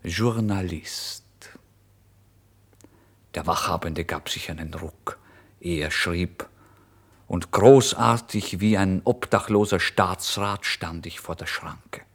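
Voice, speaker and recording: Deep-voiced, theatrical starving writer. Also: male voice, takes it slowly, long pauses, full character voice. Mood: furtive, brazen. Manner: theatrical, swinging from exclamations to hushed whispers. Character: starving, impulsive male writer recounting his misery and brazen lies. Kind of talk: dramatic first-person literary monologue.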